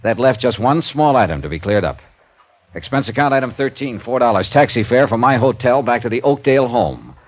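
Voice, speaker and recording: An American actor playing a wry, hard-boiled insurance investigator in an old radio drama, clipped and deadpan. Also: male voice, medium pitch, a little fast, no echo, close to an everyday voice. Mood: dry, matter-of-fact. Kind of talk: 1950s radio detective acting, narration. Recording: vintage radio recording with music.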